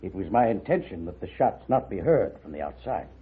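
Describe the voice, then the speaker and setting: Male, wealthy blind patriarch for vintage radio western. Raspy low voice, wide inflection, determined and formal, narrow-band archival sound. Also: big pitch swings, fairly quick, background noise. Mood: grave, insistent. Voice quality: husky. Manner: formal, commanding. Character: proud, determined, wealthy blind gentleman, a father. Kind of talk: 1950s radio western acting. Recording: narrow, muffled old radio recording.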